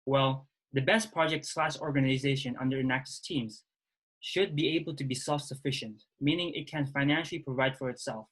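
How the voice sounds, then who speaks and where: A young man from Indonesia, a law student, hosting and introducing a webinar in a halting way with restarts. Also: medium pitch, fairly bright tone, husky. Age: young adult. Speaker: webinar host, student society president.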